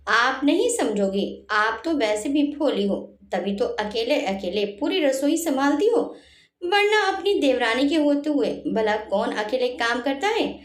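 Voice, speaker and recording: A woman's voice: sits high, animated, measured pace. A woman voicing a blunt, suspicious young sister-in-law in a family story, emphatic and reproachful. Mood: blunt, scolding. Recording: roomy echo on the voice.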